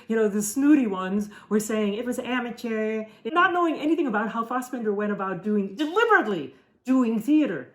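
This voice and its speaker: Middle-aged woman, first language german, chatty podcast storyteller, hesitant with fillers. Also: on the high side. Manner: hesitant, many fillers and restarts. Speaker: podcast co-host. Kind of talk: casual conversational storytelling.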